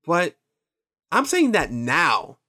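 A man in his twenties whose first language is Spanish talks loosely, rambling through his stories, swearing, and quoting himself. His voice sits a little higher than most men's. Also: huge pitch swings, slow, pushed and bright. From Mexican and Nicaraguan heritage. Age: 20s. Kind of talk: casual chat.

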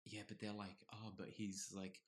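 A man's voice: on the low side, level tone. A man in his twenties co-hosting a podcast, teasing and bantering in casual chat. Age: 20s. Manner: playful, teasing, quick to banter. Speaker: podcast co-host.

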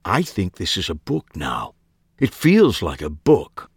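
A man's voice: sits low, wildly swooping pitch, slow pace, husky, dry recording, clean studio sound, exaggerated voice. A man voicing a flamboyant, eccentric male writer in an audiobook, drawing out his words theatrically.

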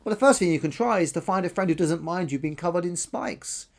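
A man's voice: sits high, expressive, fairly quick, voice pushed up. A man voicing a friendly, helpful brontosaurus with a little voice in a children's story, explaining things patiently.

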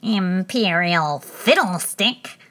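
A woman voicing a fussy, grumpy little male chess king who speaks slowly. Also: fairly low pitch, animated, a bit bright, heavily acted.

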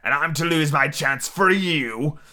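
A man's voice: on the high side, very animated, moderate pace. A man voicing a furious, greedy blind old pirate ringleader who barks orders and curses in a raised voice in an adventure storybook. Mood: bitter, scornful. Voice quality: loud, raised, shouting.

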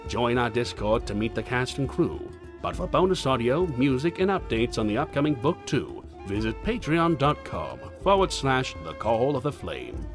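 Male narrator, medium pitch, very lively intonation, formal theatrical announcing, scripted, prominent music underneath. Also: moderate pace, hardly any pauses.

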